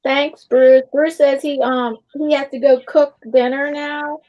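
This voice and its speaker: A woman hosting a livestream in casual chat, halting and repeating phrases as she goes. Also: high voice, flat delivery, a little mellow. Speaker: livestream host. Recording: dull livestream audio.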